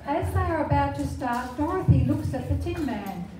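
High-voiced woman narrator in echoey room. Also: expressive, normal speed. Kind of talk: scripted story narration for amateur pantomime. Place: community room with seated audience, far camera. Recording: distant, echoey hall recording with crowd.

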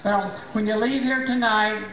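A man in his seventies doing stand-up comedy on a small tavern stage with a handheld mic. He has a squeaky, high voice after voice surgery and tells dry, self-mocking jokes. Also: fairly even intonation, normal speed, fairly bright tone. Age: 70s. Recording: echoey bar room with crowd.

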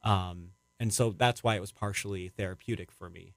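A man's voice: deep voice, fairly even intonation, a little fast, creaky, no echo, clean studio sound. A young man answering interview questions as a playwright, casually, in a hesitant, rambling and reflective way.

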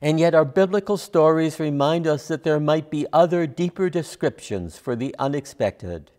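An older man speaks as a minister leading worship, his voice a little on the high side. He reads prayers and reflections in measured, solemn phrases. The sound carries the reverb of a church hall. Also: a little mellow. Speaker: guest minister. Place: church sanctuary at a lectern.

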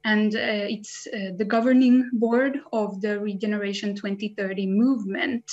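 A young woman in her twenties from Finland, a youth activist, talking in a friendly, slightly halting way on a video call. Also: mid-range voice, fairly even intonation, a little mellow. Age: 20s. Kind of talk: webinar talk. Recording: muffled video call audio.

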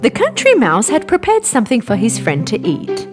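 High, very animated woman storyteller. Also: normal speed. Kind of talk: scripted children's story narration. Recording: music behind the voice.

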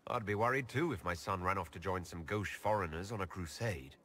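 A man voicing a level-headed, dryly teasing elf leader in a video game, with a husky voice and measured delivery. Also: deep voice, bright, forceful tone. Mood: wary, questioning. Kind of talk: video game dialogue acting.

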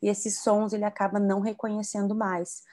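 A woman's voice: mid-range voice, little pitch movement, fairly quick, mellow. A woman from southern Brazil, an educator and author, explaining bilingualism on a video call and often adding tag questions. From southern Brazil (Rio Grande do Sul).

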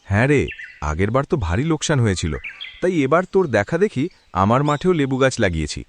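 A man's voice: mid-range voice, fast, slight background noise, close to an everyday voice. A man voicing a cheerful hardworking farmer. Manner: friendly, animated. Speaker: farmer Faisal. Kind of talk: cartoon voice acting.

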